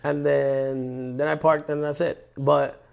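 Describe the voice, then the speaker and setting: A man in his thirties from Colombia, telling stories in a rambling, animated way on a casual podcast. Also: a little high, slow, gravelly, clear, resonant tone. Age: 30s. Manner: rambling, animated storyteller with restarts. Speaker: podcast co-host. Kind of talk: casual chat.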